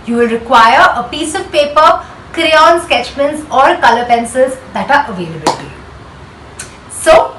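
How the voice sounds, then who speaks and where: Slow, high-pitched Indian woman teaching children. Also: fairly bright tone. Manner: instructive, emphatic, rhetorical questions. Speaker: schoolteacher hosting a read-aloud for children. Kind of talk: children's lesson, explaining with questions. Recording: roomy echo, distant mic, faint noise.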